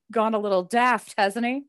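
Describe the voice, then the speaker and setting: A woman's voice: a little high, fairly bright tone, no echo, clean studio sound. A woman acting in a radio play as a playful, teasing grown son of the family. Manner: teasing, exuberant.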